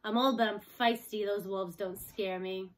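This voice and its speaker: A woman's voice: medium pitch, steady tone, moderate pace, lightly acted, poor audio. A woman storyteller plays an old cow with plenty of fight. She talks tough and feisty, putting on a brave front. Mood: defiant. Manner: panting, talking tough.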